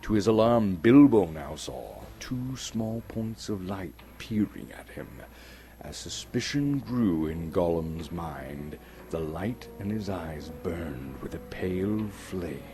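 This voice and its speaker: A man's voice: low voice, expressive, takes it slowly, music in the background. A man from Canada giving a dramatic scripted narration of a fantasy story as an actor and storyteller. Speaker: storyteller, actor reading a novel.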